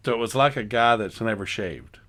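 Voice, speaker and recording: A man's voice: on the low side, a little fast, a bit bright. A man playing reporter in an improvised comedy interview, putting skeptical, teasing questions to an eyewitness.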